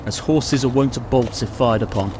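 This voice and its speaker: A man playing a guarded, steady mounted warrior, terse and commanding, in a radio play. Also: medium pitch, moderate pace, noisy background, close to an everyday voice. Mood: wary.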